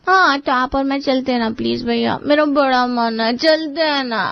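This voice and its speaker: Woman as spoiled little sister about to marry, very high voice, sweetly pleading, family drama, dull close sound. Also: moderate pace, creaky, no room sound. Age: young adult. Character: wheedling, spoiled young bride-to-be, pampered little sister. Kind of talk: Hindi family drama acting for story video.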